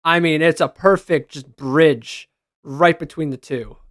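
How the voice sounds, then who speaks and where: A man's voice: fairly high pitch, a little slow, fairly bright tone, dry recording, studio quality. A man talking casually and enthusiastically as a reviewer, with informal, gushing fan commentary on a video game.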